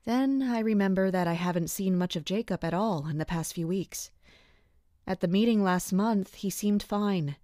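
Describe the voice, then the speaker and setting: An actress playing a dutiful, guarded mercenary captain, narrating in a measured, serious way in an audio drama. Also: female voice, medium pitch, dark tone, no room sound, studio quality, natural voice. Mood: watchful, uneasy. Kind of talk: scripted first-person audio drama monologue.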